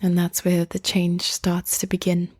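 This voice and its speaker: A woman leads a guided meditation in a mellow, soft-edged voice that stays very even in pitch. She speaks gently and very slowly, leaving long pauses between phrases. The sound is close and dry. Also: dry recording. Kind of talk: guided meditation, slow and soothing.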